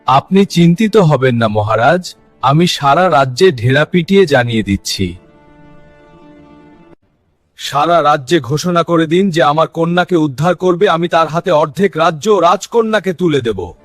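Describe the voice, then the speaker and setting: Man voicing animated, earnest fairy-tale royal. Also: a little high, normal speed, faint music behind, close to an everyday voice. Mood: solemn. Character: earnest fairy-tale royals and courtiers: king, minister, prince. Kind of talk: dramatic cartoon fairy-tale voice acting.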